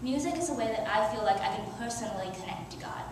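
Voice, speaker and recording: A woman's voice: on the high side, expressive, fast talker, background noise. Teen girl, earnest youth sermon, heard from afar in a very reverberant church, faint ambience. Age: teens. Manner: earnest, with soft laughs and small restarts. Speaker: youth speaker. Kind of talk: reading a prepared sermon. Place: church sanctuary. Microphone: headset mic, filmed by far camera. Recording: very echoey church from far off.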